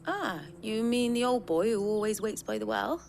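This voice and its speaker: A woman's voice: a little high, very animated, moderate pace, a little mellow, soft background music. A woman playing a talkative, wistful villager in a fantasy video game, folksy and polite, with a British accent. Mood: curious, friendly. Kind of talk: video game cutscene acting.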